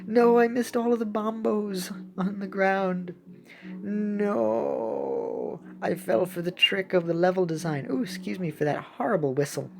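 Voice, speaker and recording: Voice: medium pitch, sing-song, unhurried, darkish tone. A young adult giving casual, playful gameplay commentary in a rambling, chatty way. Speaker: gaming video host. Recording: clearly audible background noise.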